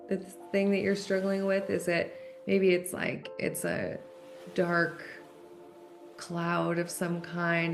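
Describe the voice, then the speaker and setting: A woman leading a guided meditation in a gentle, unhurried way, leaving long pauses between instructions. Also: on the low side, vocal fry. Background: background music.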